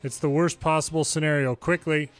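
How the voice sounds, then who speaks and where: A man's voice: on the high side, fairly even intonation, brisk, faint music behind. A man reading a video game's story dialogue aloud in English, as a longplay narrator.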